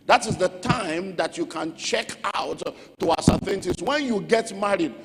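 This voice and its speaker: Male preacher, Nigerian accent, fairly high voice, emphatic and repetitive teaching style, long hall reverb. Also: normal speed, fairly bright tone, slight background noise. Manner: emphatic, repeats key phrases, checks in with listeners. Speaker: pastor. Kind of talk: sermon teaching on relationships. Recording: echoey hall with music underneath.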